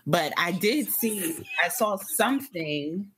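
A woman's voice: lowish voice, expressive, takes it slowly, fairly bright tone, slight background noise, low-quality recording. A woman co-hosting a casual pop culture chat, candid and a bit hesitant, with many fillers. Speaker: podcast co-host.

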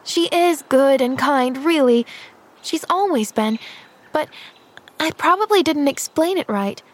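A woman's voice: high-pitched, lively intonation, unhurried, pitched above the performer's own voice. A woman voicing an eager, kind-hearted young girl in an audiobook, chattering in a breathless, earnest, gushing way. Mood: apologetic, earnest. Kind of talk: audiobook character voice.

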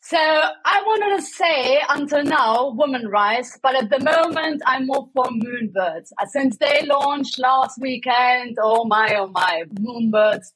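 A woman's voice: high-pitched, animated, takes it slowly, hardly any pauses, a bit bright, husky, low-quality recording. A woman from Germany, a guest on a podcast, giving upbeat, emphatic short answers. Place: home setup.

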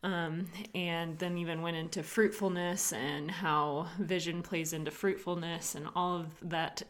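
A woman co-hosting a faith podcast, cheerful and quick to laugh in casual chat. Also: fairly low pitch, fairly even intonation. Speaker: podcast co-host.

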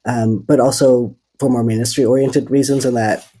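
A man's voice: mid-range voice, steady tone, normal speed, mellow, breathy edge. A man from the Netherlands, a seminary student, answering interview questions thoughtfully and hesitantly with many fillers.

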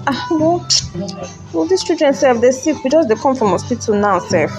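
A woman plays a weary Nigerian mother of small children. Her voice is very high and expressive, full of exclamations and repeated phrases. It is an audio drama performance with clear music underneath. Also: normal speed. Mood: animated, weary.

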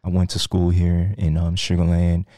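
A man in a podcast studio interview who talks haltingly, with many ums and restarts. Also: deep voice, completely flat delivery, warm tone, dry recording. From Nigeria, raised in Texas. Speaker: guest, crypto trader.

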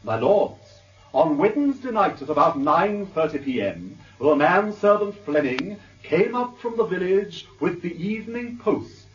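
Theatrical, swooping English barrister. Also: male voice, sits high, big pitch swings, unhurried, distant mic, muffled recording. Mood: solemn oratory. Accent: upper-class English. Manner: pompous, rhetorical, precise. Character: suave, theatrical English defence barrister, self-assured and eloquent. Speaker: actor playing a defence barrister. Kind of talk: British radio drama, courtroom oratory.

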